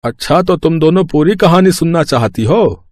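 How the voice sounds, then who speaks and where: A man playing a gentle village father who talks patiently and fondly, like telling family history, in a storybook tale. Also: a little high, expressive, moderate pace, no echo, studio quality, close to an everyday voice. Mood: fond, reflective. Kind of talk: storybook character acting.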